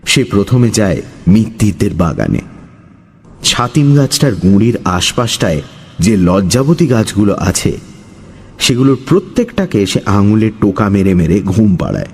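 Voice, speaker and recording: A man narrating a scripted horror story in a dramatic, suspenseful way, with deliberate pauses. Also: lowish voice, darkish tone, slightly rough.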